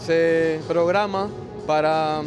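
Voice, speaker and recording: A musician being interviewed, hesitant and repeating words. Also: male voice, on the high side, steady tone, extremely slow, music in the background. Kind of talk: street interview.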